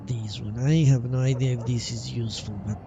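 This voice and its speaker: A man's voice: mid-range voice, fairly even intonation, a little fast, creaky, muffled recording. A man streaming a game, thinking aloud in casual commentary, muttering to himself and hesitating as he goes. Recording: loud game music behind the voice. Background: loud video game music.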